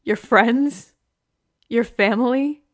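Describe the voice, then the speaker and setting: A young woman playing a hurt, heartbroken girlfriend in an emotional, halting ASMR roleplay monologue. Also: on the high side. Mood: bitter, angry.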